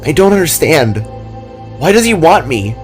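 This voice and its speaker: A man's voice: a little high, very animated, normal speed, soft background music, voice pushed up. A man voicing a frightened twelve-year-old boy who pleads anxiously in a fantasy story. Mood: confused, distressed.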